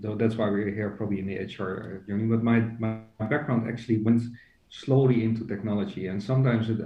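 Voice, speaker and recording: Hesitant man on a dull, distant, roomy line. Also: fairly low pitch. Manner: hesitant, many fillers and restarts. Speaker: podcast guest, HR executive and company co-founder. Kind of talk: casual interview. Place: joining remotely from home.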